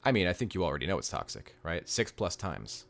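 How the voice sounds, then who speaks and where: Deep-voiced man giving blunt advice. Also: big pitch swings, a little fast, gravelly, a bit bright. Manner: blunt, direct advice, repeats key points. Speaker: relationship coach hosting a live stream. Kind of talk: casual live-stream Q&A reading comments.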